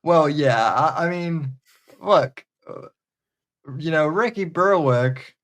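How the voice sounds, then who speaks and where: A man's voice: fairly high pitch, slow, a bit bright. A man hosting a casual podcast, rambling with hesitant restarts and crude humor. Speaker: podcast host. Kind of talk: casual chat.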